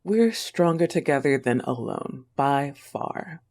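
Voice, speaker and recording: A young woman playing an affectionate, possessive, teasing wolf-girl girlfriend in an intimate roleplay audio, tender and often breathy. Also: rumbling low, lively intonation, slow pace, a little mellow, heavily acted. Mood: tender, earnest.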